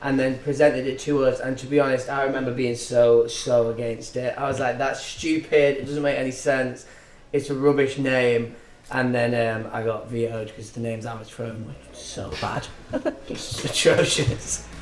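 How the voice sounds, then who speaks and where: A man's voice: mid-range voice, little pitch movement. A man, a band frontman chatting casually and jokingly in an interview. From England. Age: 20s. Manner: chatty, jokey, quick asides. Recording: echoey room with music behind.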